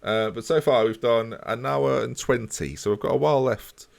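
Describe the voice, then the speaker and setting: A man's voice: medium pitch, moderate pace, gravelly. A man casually chatting with his live-stream viewers while playing a football management game. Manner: relaxed, chatty, talks to chat while playing.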